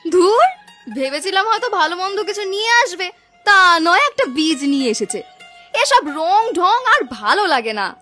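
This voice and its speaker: A woman's voice: very high-pitched, sing-song, normal speed, sharp tone, faint music behind, exaggerated voice. A woman voicing a vain, greedy, sneering young village sister in a cartoon story, with a dismissive, mocking delivery. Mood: scornful. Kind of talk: Bengali cartoon story dubbing.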